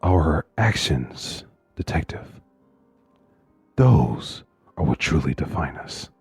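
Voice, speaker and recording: An actor playing a calm, philosophizing male serial killer of about forty in a suspense audio drama, gravelly and deliberate. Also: no room sound, faint music behind, full character voice. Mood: cold, musing. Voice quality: very deep, gravelly husky. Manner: slow, deliberate, pompous phrasing.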